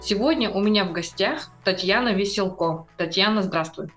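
A woman's voice: medium pitch, moderate pace, a bit bright, faint music behind. A woman hosting a podcast, presenting and putting questions to a guest in an interview. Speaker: podcast host.

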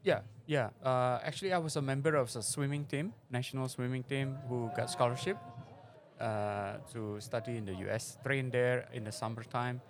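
A man's voice: medium pitch, moderate pace. A middle-aged man from Indonesia, a venture investor and interview guest, answering with frequent fillers and phrasing. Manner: hesitant, many fillers, non-native phrasing. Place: conference forum venue.

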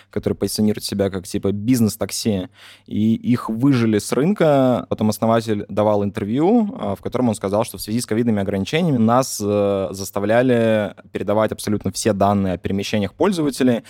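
A man with a fairly low voice, recorded very dry. He chats casually as a podcast co-host, using colloquial slang and pausing over his phrasing. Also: a little mellow, no echo. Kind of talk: casual chat.

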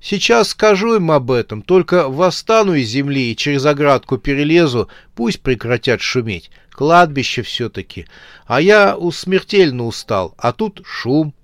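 A man's voice: on the high side, lively intonation, moderate pace, no room sound, pitched above the performer's own voice. An unbothered corpse acted out by a male narrator in a spooky comic storybook. He talks in a raised, carrying, conversational voice, like someone on a phone, with mild complaint and a dry sound. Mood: tired, annoyed. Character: matter-of-fact male corpse chatting on the phone.

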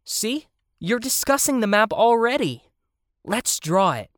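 Narrator voicing an earnest, high-pitched bossy young explorer. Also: very animated, a little slow, no room sound, studio quality. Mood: eager, pompous. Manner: self-important, emphatic. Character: earnest, bossy child explorer playing geographer.

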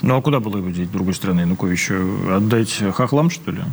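Lively, challenging male interviewer. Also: lowish voice, very fast, in a large hall, a little hiss or hum. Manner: challenging, sardonic questioning. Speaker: interviewer, show host. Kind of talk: interview conversation.

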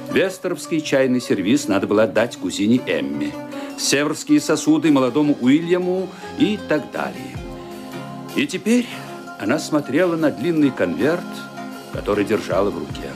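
A man narrating a radio mystery play with suspenseful, deliberate phrasing and dramatic emphasis. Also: medium pitch. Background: music.

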